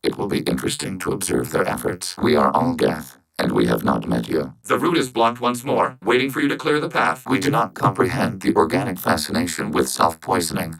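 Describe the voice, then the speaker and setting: A networked game robot with an extremely low, creaky voice. It speaks in formal, clipped phrases, without emotion, as one calm collective mind. Robotic processing gives it a synthetic edge. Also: male voice, brisk, few pauses, heavily acted, rough recording. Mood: clipped alert. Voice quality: synthetic, machine-like.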